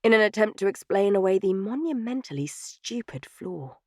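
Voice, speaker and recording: A woman's voice: medium pitch, expressive, normal speed, a little mellow, no room sound, clean studio sound. A woman reading scripted third-person fiction as an audiobook narrator.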